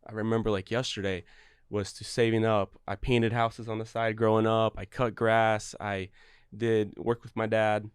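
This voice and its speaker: A man's voice: lowish voice, little pitch movement, moderate pace, clean tone, no room sound, studio quality. A young man from Ukraine, a guest in a casual interview, talking earnestly and rambling through restarts. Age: young adult. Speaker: guest, real estate broker.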